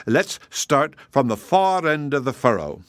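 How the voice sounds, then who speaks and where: A man voicing a shrewd, proud little male hedgehog who speaks calmly and slyly. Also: medium pitch, unhurried, no echo, studio quality, voice pushed up. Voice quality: calm, sly, plain-spoken.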